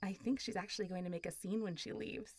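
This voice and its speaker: A woman's voice: medium pitch, steady tone, fast talker, a little hiss or hum, natural voice. A woman playing a friendly, teasing, centuries-old zombie girl in an intimate roleplay audio drama, talking in a casual, playful way. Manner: casual, playful, teasing, often laughing and sighing.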